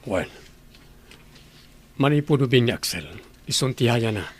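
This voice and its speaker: A man playing a busy father and household boss, measured and questioning, in a radio drama. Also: medium pitch, lively intonation, faint music behind. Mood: puzzled. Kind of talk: Ilocano radio drama acting.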